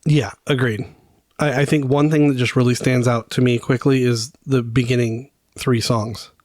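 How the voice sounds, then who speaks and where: A man's voice: medium pitch, little pitch movement, moderate pace, a little mellow, dry recording, studio quality. A man co-hosting a casual fan podcast, chatting speculatively and often trailing off mid-thought. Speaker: podcast co-host.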